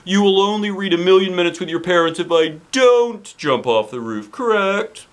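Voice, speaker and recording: A man voicing an eccentric, exuberant middle-aged school principal from a children's storybook, with exaggerated, drawn-out delivery. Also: high-pitched, animated, pitched above the performer's own voice. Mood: earnest pleading. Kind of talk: children's storybook character reading.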